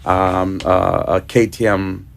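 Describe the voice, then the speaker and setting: Gravelly low-voiced man from Ecuador. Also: flat delivery, slow pace. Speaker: guest motorcyclist. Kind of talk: casual radio interview.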